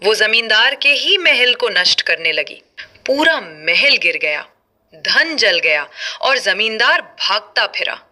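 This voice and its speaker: A woman's voice: medium pitch, big pitch swings, slow pace, sharp tone. A woman telling a moral folk tale as a scripted narration. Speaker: storyteller.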